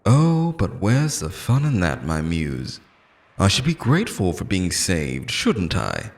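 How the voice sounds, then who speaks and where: A man's voice: fairly low pitch, full character voice. A man playing an obsessive immortal lover in an audio roleplay, theatrical and intense, shifting between pleading tenderness and menace. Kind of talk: dramatic ASMR-style audio roleplay monologue.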